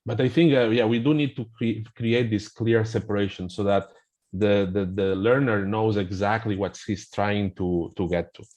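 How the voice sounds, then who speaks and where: A man's voice: fairly low pitch, steady tone, normal speed, a little mellow. A middle-aged man with a Spanish accent, explaining things in a hesitant conversational way, full of fillers and restarts. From Spain. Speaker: language app representative, guest.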